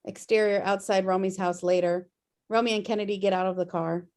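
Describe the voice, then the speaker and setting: A woman's voice: mid-range voice, fairly even intonation, brisk, a little mellow. A woman narrating stage directions from a script during a table read on a video call.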